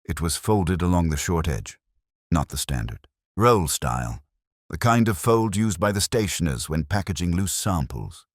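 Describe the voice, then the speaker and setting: A man voicing a calm, quietly shrewd police inspector who lays out evidence in a measured, understated and methodical way. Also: deep voice, no echo, studio quality. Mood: methodical, certain.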